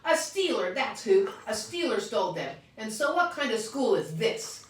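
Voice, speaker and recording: A woman voicing a loud, dramatic little kindergarten girl who shouts in childlike grammar. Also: on the high side, very animated, normal speed, pitched above the performer's own voice. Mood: upset. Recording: echoey room, distant mic.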